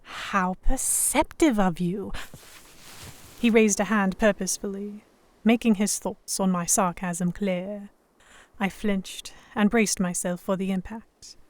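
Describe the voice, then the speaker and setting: A woman's voice: medium pitch, fairly even intonation, slow pace, close to an everyday voice. A woman playing a defiant, sarcastic young Victorian English noblewoman held captive, narrating in formal first person for an audio drama. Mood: wry sarcasm. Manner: sardonic, defiant, formal diction. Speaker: narrator and lead voice actor.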